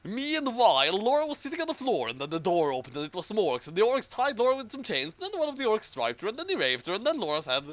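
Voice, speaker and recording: Male reader-commentator: slightly high voice, animated intonation, amateur story reading with dry asides. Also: fast talker, few pauses, dry recording.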